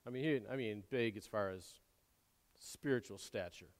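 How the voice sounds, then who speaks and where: A man's voice: on the low side, moderate pace. Preaching man in a reverberant hall. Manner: swings from quiet asides to loud, impassioned exhortation; repeats and restarts words often. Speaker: pastor. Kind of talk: sermon.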